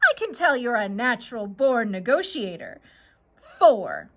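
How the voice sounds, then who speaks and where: A woman plays a short, round storybook swindler with a bright, high voice. The patter is gushing and wheedling, swooping up and down with oily charm. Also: big pitch swings, measured pace, full character voice. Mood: haggling. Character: short round grinning stranger, smooth-talking swindling trader.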